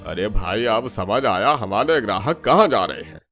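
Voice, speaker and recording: A man's voice: on the low side, lively intonation, moderate pace, fairly bright tone. A man playing a blunt, chatty, exclamatory local fellow in a cartoon. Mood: scheming. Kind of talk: scripted cartoon dialogue.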